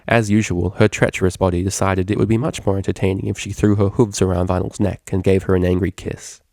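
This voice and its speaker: A man reading a story aloud as scripted, expressive narration. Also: low-pitched, fast talker, creaky, no room sound, studio quality. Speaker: fan fiction reader.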